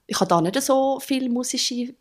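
A woman's voice: sits high, unhurried. A young woman with a Swiss German accent, a drummer chatting as an interview guest, laughing often and restarting her sentences. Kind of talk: casual conversational interview.

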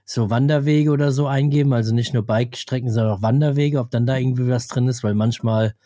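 Mellow-voiced man with a scratchy cold. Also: mid-range voice, fairly even intonation, fast, dry recording, studio quality. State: slight cold with scratchy throat. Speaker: podcast co-host. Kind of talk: casual chat.

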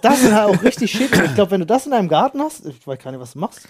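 A woman's voice: mid-range voice, big pitch swings, rapid-fire, a crowd in the background. A woman chatting casually among friends on a podcast, using blunt, colloquial slang. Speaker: podcast host.

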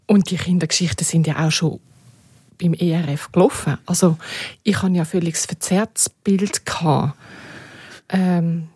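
Husky, lowish Swiss German woman. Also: steady tone, a little slow, dark tone, no echo, studio quality. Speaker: interview guest, radio journalist. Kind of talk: informal reminiscing conversation.

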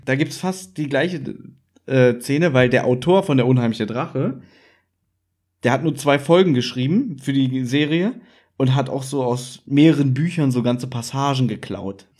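A man in a casual chat, recapping an audio drama as a podcast host and retelling scenes in an animated way. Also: mid-range voice, moderate pace.

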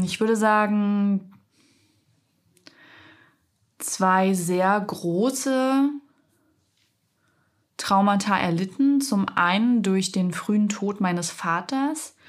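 A young woman hosting a reflective solo podcast, speaking thoughtfully with frequent pauses and soft fillers; she has a cold, so her voice is slightly stuffy and nasal. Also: mid-range voice. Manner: slow, thoughtful, pausing often with soft fillers. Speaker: podcast host.

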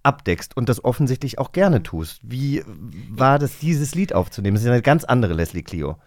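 A man's voice: medium pitch, sing-song, moderate pace, a little mellow, no echo. A man hosting a casual interview chat in a studio, talking in an enthusiastic manner. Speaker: podcast host.

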